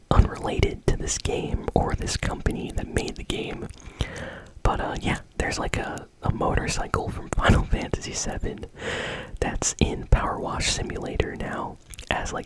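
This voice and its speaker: A host whispering gaming news in a casual, conversational ASMR style, with a breathy, unvoiced voice. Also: low-quality recording.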